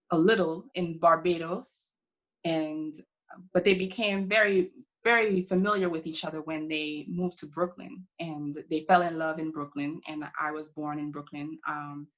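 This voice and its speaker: A woman playing a proud, determined pioneering congresswoman from Brooklyn, answering questions in character with deliberate, reflective phrasing broken by pauses. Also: low-pitched, fairly even intonation, a bit bright, dull audio. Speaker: historical reenactor taking audience questions.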